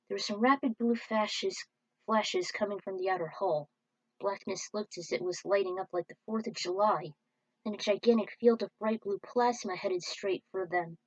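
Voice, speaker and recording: A woman reading a fan-fiction story aloud in a steady, plain storytelling manner. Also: mid-range voice, no room sound, rough recording. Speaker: reader.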